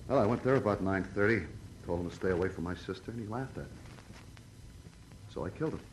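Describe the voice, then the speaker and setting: Deep male voice, faintly noisy vintage radio, actor as bitter tough guy, stubborn, protective, defensive noir drama. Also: fast talker, natural voice. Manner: tough street talk, stubborn. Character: defensive, bitter, protective older brother confessing to murder. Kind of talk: old-time radio noir acting.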